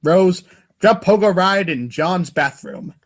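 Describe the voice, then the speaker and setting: Male comic narrator, slightly high register, theatrical and mock-grave, reading script commands. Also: slow pace. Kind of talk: comedic readers' theater narration.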